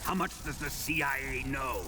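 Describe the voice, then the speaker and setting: An actor playing a sadistic military colonel in a video game, taunting and theatrical in a deliberate way. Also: male voice, mid-range voice, fairly even intonation, loud music behind the voice, lightly acted. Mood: menacing. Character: sadistic, menacing military colonel interrogating a captive. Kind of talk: dramatic video game acting.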